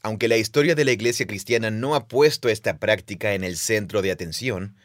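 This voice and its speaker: A man's voice: on the low side, brisk, dry recording, studio quality. A man co-hosting a casual conversation, explaining ideas at length and murmuring agreement while his partner talks. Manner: explanatory, thinks aloud with frequent agreeing murmurs. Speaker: podcast co-host, Bible teacher.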